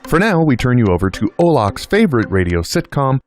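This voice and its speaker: A man playing an unruffled station continuity announcer in an audio drama, formal and measured. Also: mid-range voice, sing-song, music in the background, lightly acted. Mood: unruffled formality. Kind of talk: radio announcer pastiche.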